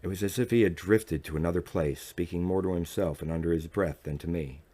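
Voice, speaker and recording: A man narrating an audiobook in the first person, with a measured, dramatic reading manner. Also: low-pitched, dark tone.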